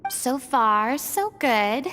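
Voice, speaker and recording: High-voiced, theatrical young stage diva. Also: female voice, very slow, a bit bright, a little hiss or hum. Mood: poised, curious. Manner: grandiloquent, formal, monologue-like phrasing with French touches. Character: theatrical young woman, retired stage star, proud and pensive. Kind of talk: dramatic video game voice acting.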